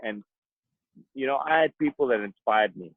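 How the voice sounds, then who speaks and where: A boxer, talking earnestly and emphatically in an interview, often repeating himself. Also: male voice, mid-range voice, moderate pace, no room sound. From South Africa. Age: 30s. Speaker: boxer guest. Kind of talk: interview conversation. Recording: tinny phone-like dry sound.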